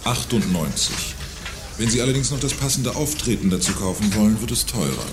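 A man's voice: fairly low pitch, expressive, brisk, background noise, natural voice. An actor playing a smug, condescending salesman in an audio drama, speaking with sardonic mockery. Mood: smug flattery. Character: smug, mocking male boutique salesman with a condescending streak. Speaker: sales clerk. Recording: music behind the voice.

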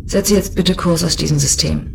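A woman's voice: on the low side, normal speed, vocal fry, a little hiss or hum. An actress playing a cool, determined, sardonic female government commissioner in a German science fiction audio drama. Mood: sardonic, firm. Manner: sardonic, self-assured. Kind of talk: German audio drama acting with inner monologue. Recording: music bed behind the voice.

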